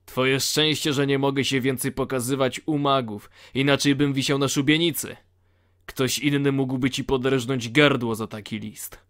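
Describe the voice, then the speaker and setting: A man acts a blunt convict from a fantasy penal colony. He has a mid-pitched voice and a dry, sardonic way of talking, as in a game-based audio play. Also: fairly even intonation, moderate pace, a bit bright, close to an everyday voice. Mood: blunt, matter-of-fact.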